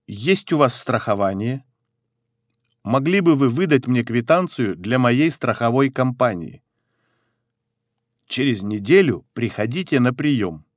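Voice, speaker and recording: A man reads short phrases for a language course at a slow, deliberate pace, leaving a pause after each one. His voice sits at an ordinary male pitch and sounds neutral and measured. The recording is close and dry, with no room sound. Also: no echo. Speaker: phrasebook reader. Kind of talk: slow, clearly spaced phrasebook reading.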